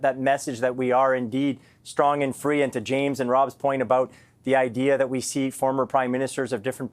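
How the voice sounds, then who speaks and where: Mid-pitched man, conversational pundit. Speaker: political panel commentator. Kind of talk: live TV commentary.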